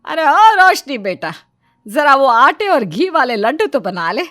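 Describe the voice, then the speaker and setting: An elderly Indian grandmother from a cartoon family story, acted by a woman, fusses and scolds with doting insistence. Her voice is high and very bright, swinging widely as she exclaims. Faint music plays underneath. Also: big pitch swings, unhurried, no room sound, clean studio sound, exaggerated voice. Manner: scolding, fussing, exaggerated exclamations.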